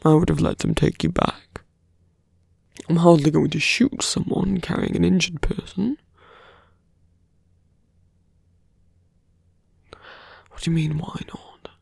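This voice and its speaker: A performer voicing a suave, menacing male villain in an intimate ASMR roleplay, slow and teasing, with a breathy, gravelly voice that often sinks to a near-whisper. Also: medium pitch, steady tone, a little mellow, no room sound. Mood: smug, menacing. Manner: slow, deliberate, teasing, condescending.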